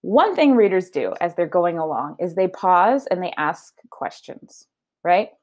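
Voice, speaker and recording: A woman teacher giving a children's read-aloud lesson, explaining her thinking in an expressive, conversational way. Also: on the low side, a little slow. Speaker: elementary teacher.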